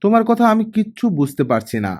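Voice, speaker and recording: A man voicing an innocent, soft-hearted teenage girl, a younger sister who talks in a light, naive, questioning way in an audiobook. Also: sits high, big pitch swings, fast, pitched above the performer's own voice. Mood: puzzled.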